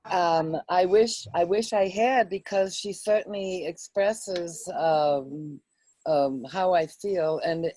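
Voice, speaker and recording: A woman with a mellow, slightly low voice speaks in a reflective, halting way. Her pace is very slow and unhurried, and the sound is close and dry. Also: no room sound. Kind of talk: poem reading and casual personal recollection.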